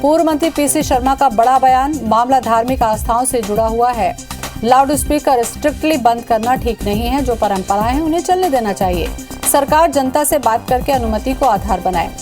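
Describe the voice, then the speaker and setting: A woman's voice: on the high side, normal speed. A woman anchoring the news and moderating a live debate. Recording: music bed behind the voice.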